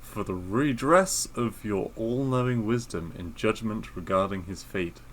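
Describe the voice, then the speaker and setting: A deferential soldier, read out by a man in a fairly low voice. He speaks formally and grandly to his sovereign in a fantasy storybook, with some background noise. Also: measured pace, fairly bright tone. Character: deferential male soldier addressing his sovereign, commanding prisoner. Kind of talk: dramatic storybook reading.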